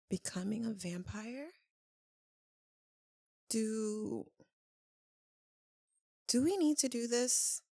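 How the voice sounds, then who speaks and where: A melancholy female vampire lover, played by a woman for an ASMR roleplay, speaks extremely slowly in a creaky voice. She is tender and tormented, hesitating with long, heavy pauses. The sound is close and very dry, as if confided to one listener. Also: medium pitch, a little mellow, no room sound. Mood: reluctant, uneasy. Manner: hesitant, halting, earnest, with long dramatic pauses. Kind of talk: slow, intimate ASMR roleplay.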